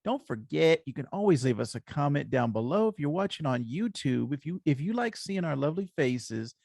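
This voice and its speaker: A man's voice: fairly high pitch, very animated, brisk, vocal fry, a little mellow, dry recording, studio quality. A man hosting a casual comics podcast with an upbeat, encouraging way of talking. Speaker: podcast host.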